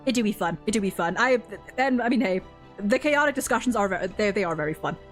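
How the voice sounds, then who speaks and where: A woman livestream host chatting casually and excitably, rambling as she goes. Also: medium pitch. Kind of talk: casual chat.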